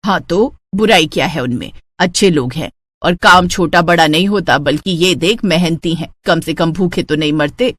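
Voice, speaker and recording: A woman's voice: on the low side, normal speed, a bit bright, voice pushed down. A woman voicing a stern, practical older village mother, scolding and matter-of-fact, in a storybook tale. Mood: reasoning.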